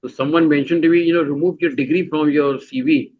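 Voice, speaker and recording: A middle-aged man with a Pakistani accent, answering interview questions in a halting way, full of "you know" fillers and restarts. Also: fairly high pitch, steady tone, phone-quality sound. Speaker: guest.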